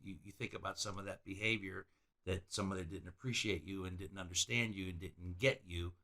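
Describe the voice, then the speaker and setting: Gravelly, low-voiced male host. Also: steady tone, a little fast, sharp tone. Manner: probing, reflective, stammers on restarts. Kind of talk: probing interview questions.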